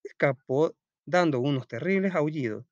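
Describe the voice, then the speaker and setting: A man's voice: a little high, expressive, normal speed, no echo, highs cut off. A man with a Salvadoran accent teaching an informal school lesson, reading aloud and explaining in a chatty, colloquial way, often asking questions and answering them himself.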